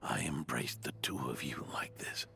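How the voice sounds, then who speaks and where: A man playing a gentle, fatherly knight near death, with a breathy, frail voice and tender, halting delivery in a dramatic game scene. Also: very deep voice, lively intonation, in a whisper, exaggerated voice. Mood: tender, weary.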